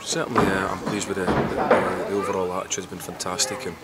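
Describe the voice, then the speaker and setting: A middle-aged man, a football manager giving a post-match interview outdoors, halting and repeating words as he thinks. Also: low-pitched, little pitch movement. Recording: loud outdoor background noise.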